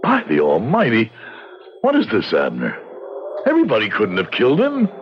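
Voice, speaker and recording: An actor playing a dignified frontier judge, formal, measured and earnest, in the emphatic style of old-time radio drama. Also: male voice, lowish voice, huge pitch swings, slight background noise. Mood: baffled, exasperated. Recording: muffled radio recording with music behind.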